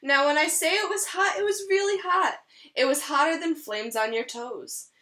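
A woman's voice: high voice, normal speed, sharp tone. A woman in her twenties, a teacher reading a picture book aloud to young children at home. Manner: clear storytelling for young children. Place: small room at home, indoors.

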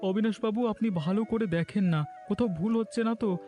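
A man's voice: sits high, brisk, mellow, faint music behind, close to an everyday voice. A man voicing a poor, anxious village husband in a cartoon melodrama, earnest and pleading. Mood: worried.

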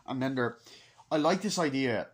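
A man in his twenties giving a rambling, informal, sweary movie review, excitable and breaking into acted-out snatches of dialogue. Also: mid-range voice, normal speed, husky. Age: 20s. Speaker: amateur film reviewer. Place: small wood-panelled room indoors. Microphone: laptop microphone at close range.

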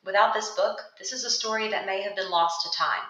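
A woman's voice: mid-range voice, brisk, echoey room. A young adult woman giving a scripted, earnest voice-over that recommends books for a classroom library. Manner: steady, earnest read-aloud delivery. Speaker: student presenting book recommendations. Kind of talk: scripted narration of a video ad. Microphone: voice-over over still book pages.

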